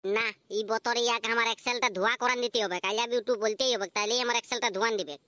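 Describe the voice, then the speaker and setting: A man's voice: very high-pitched, steady tone, bright, forceful tone, clean tone, no room sound. A man voicing a bossy, crafty young married village woman in a comedy cartoon, with a Purulia Bengali accent. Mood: scheming. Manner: hurried, demanding, coaxing.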